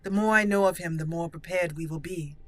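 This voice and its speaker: A woman voicing a resolute young female elf mage in a game roleplay, speaking formally, deliberately and solemnly. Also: fairly low pitch, natural voice. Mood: inquisitive, formal. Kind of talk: improvised video game roleplay.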